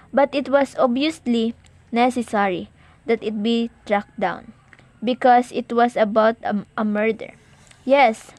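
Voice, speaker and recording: A woman's voice: fairly high pitch, a little mellow, no echo, close to an everyday voice. A woman playing an anxious, imperious married lady on the telephone in an amateur radio play, insistent, fretful and easily exasperated. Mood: urgent, insistent. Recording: noisy amateur recording.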